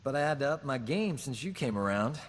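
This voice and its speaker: A man's voice: mid-range voice, expressive, fairly quick, in a large hall, natural voice. An actor playing a cocky, womanizing human doctor who boasts and wisecracks in a video game. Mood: boastful. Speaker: companion character. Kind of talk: video game dialogue acting.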